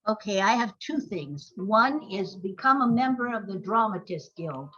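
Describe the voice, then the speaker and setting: A woman's voice: mid-range voice, big pitch swings, moderate pace, a bit bright, husky, dull audio. An older woman, a playwright guest on an interview podcast, telling anecdotes in a rambling, enthusiastic way.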